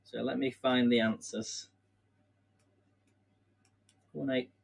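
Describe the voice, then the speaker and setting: A man's voice: mid-range voice, little pitch movement, takes it slowly, vocal fry. An English man hosting a casual live stream from his home kitchen, chatting and running a quiz while he bakes, rambling and self-deprecating with frequent laughs. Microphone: camera on a stand.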